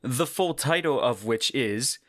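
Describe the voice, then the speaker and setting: A man's voice: medium pitch, animated, measured pace, a bit bright, dry recording. Man hosting in a dry, close recording. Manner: enthusiastic, informal. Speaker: podcast host. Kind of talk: casual solo podcast commentary.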